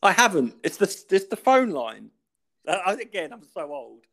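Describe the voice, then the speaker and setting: Rambling man with lively pitch. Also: normal speed. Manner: rambling, ranting, breaks into laughter. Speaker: podcast co-host. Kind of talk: casual chat.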